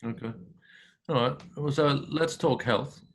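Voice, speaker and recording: A man's voice: medium pitch, a little slow, gravelly. A man hosting a casual interview, asking questions hesitantly with frequent fillers. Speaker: podcast host, interviewer.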